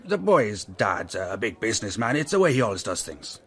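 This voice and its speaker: An actor playing a commanding fixer for powerful men in a radio drama, blunt and coaxing by turns, with menace underneath. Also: male voice, fairly low pitch, sing-song, fairly quick, fairly bright tone, breathy edge, full character voice. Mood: smoothly persuasive.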